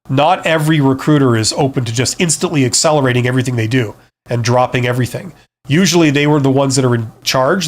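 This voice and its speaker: Frank, conversational male advice streamer. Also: medium pitch. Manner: conversational, frank, often checks in with "right?" and "you know?". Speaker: live stream host, career advisor and former CEO. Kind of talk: casual live stream advice, answering viewer comments.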